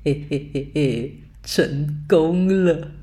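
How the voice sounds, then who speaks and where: A woman voicing a sly, hungry male big bad wolf with a gruff, wheedling voice and sneaky chuckles in a bedtime storybook reading. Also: low voice, very slow, dark tone. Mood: scheming.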